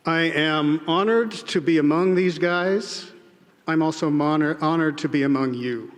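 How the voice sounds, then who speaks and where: Man, fairly high voice, earnest measured speech, long arena reverb. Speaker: English professor giving a spoken-word address. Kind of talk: prepared speech to a large audience. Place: large indoor arena.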